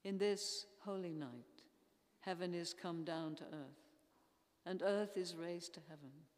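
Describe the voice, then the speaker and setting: An older woman leading formal prayers in a solemn, measured way, reading with pauses between phrases. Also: lowish voice, darkish tone. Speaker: church intercessor leading prayers. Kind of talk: formal read liturgical prayer. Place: large church interior. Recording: echoey church hall, long reverb.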